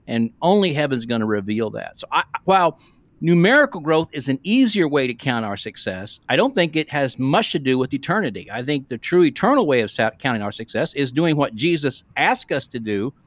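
A man's voice: mid-range voice, very animated, a little fast, dry recording. A man in his sixties, a pastor co-hosting a podcast in a casual, rambling, folksy way. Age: 60s. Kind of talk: casual conversational podcast discussion. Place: small room, remote video call. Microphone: close podcast microphone.

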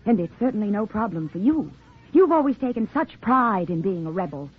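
An actress playing an indignant grown daughter, sharp-tongued and scornful, in 1940s radio drama style. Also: female voice, mid-range voice, sing-song, brisk, husky. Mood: bitter, scornful. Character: bitter, indignant grown daughter of runaway father. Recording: thin, tinny 1940s radio recording.

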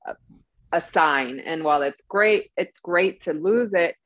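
Low-voiced young woman, dry close sound. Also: unhurried, fairly bright tone, dry recording. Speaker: podcast co-host. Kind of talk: conversational interview. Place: small indoor room, on a video call.

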